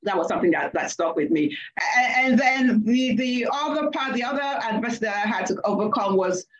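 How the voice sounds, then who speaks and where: A woman's voice: on the high side, expressive, a little fast, muffled recording. A woman from Nigeria with a Nigerian accent, speaking as a panelist and telling a story from her work, going back over her words as she talks. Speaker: panelist, accounting leader. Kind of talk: panel discussion, personal story.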